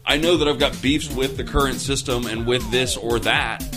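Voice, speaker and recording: A man's voice: mid-range voice, fairly even intonation, moderate pace, over music. A man in a casual political debate among friends, swearing freely and pressing his points emphatically. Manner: profane, emphatic, argumentative.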